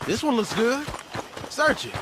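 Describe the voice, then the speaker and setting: An actor playing an eager, cocky young Wild West outlaw with an American accent in a video game, talking in folksy, wry slang. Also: male voice, very high-pitched, very animated, measured pace, noisy background, heavily acted. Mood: urgent.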